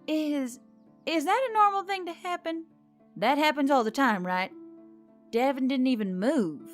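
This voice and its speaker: A woman voicing a nervous, sharp-tongued young woman who talks in a casual, emphatic, slangy way in an audiobook story, with music behind. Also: sits high, expressive, a little slow, voice pushed up. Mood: stunned. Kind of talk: audiobook character dialogue.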